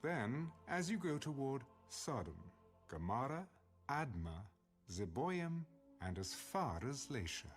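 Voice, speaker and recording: A man reading scripture as an audiobook narrator in a measured, solemn manner. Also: medium pitch, sing-song, faint music behind.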